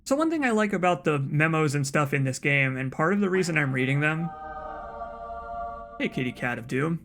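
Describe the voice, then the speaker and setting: A man's voice: a little high, expressive, moderate pace, fairly bright tone, soft background music. A man with a soothing voice giving casual, rambling commentary as he plays a game, often restarting his sentences. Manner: rambling, chatty, frequent restarts and asides. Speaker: let's play streamer.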